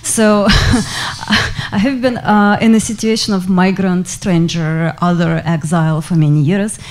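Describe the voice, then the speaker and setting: A woman's voice: fairly low pitch, fairly even intonation, measured pace. A woman with a Russian accent opening a live panel talk, speaking with many hesitant fillers. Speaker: panel moderator. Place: lecture hall before an audience.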